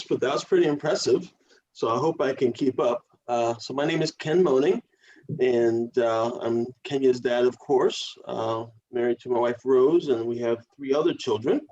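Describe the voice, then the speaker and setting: Easygoing middle-aged dad guest. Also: male voice, medium pitch, darkish tone, highs cut off. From Connecticut. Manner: easygoing, self-deprecating, fillers. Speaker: podcast guest, parent. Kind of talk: casual interview answers.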